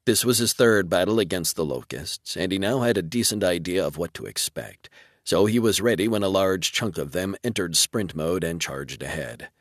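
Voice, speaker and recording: A man's voice: low voice, lively intonation, normal speed, no room sound, studio quality. A man reading scripted narration for a military science fiction audiobook.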